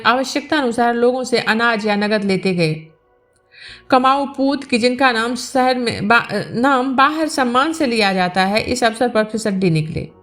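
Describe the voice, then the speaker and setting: A woman's voice: on the high side, a bit bright. A woman narrating a village novel aloud as a storyteller, reading from a script.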